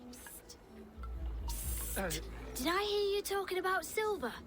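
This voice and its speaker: A woman's voice: very high-pitched, very animated, slow pace, a bit bright, faint music behind, heavily acted. A woman playing a cocky young street hustler in a video game, cheeky and streetwise. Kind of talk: video game character acting.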